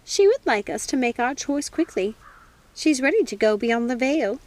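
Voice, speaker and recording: A tender, reassuring witch mother from a storybook, played by a woman reader. Her voice is high and motherly, with a soothing, protective way of speaking. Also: big pitch swings, moderate pace. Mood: gentle.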